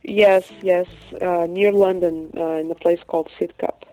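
A woman's voice: lowish voice, fairly even intonation, unhurried, faint music behind. A woman raised in Portugal, a singer, speaking hesitantly with many fillers in a phone interview. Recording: tinny phone line.

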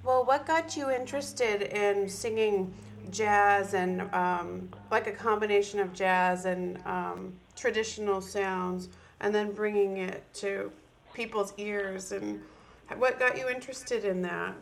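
A woman hosts a podcast and interviews a guest. She asks questions in an admiring tone. Her voice is mid-pitched. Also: fairly even intonation, slow pace, vocal fry, a little hiss or hum.

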